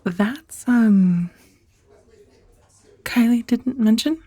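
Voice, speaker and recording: A woman playing a professional dominatrix on a blind date in a soft-spoken ASMR roleplay, breathy and often near a whisper, confiding and teasing. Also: a little high, extremely slow, no echo. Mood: sheepish, hesitant. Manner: hesitant, confiding, teasing, with sighs. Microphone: close, dry ASMR microphone.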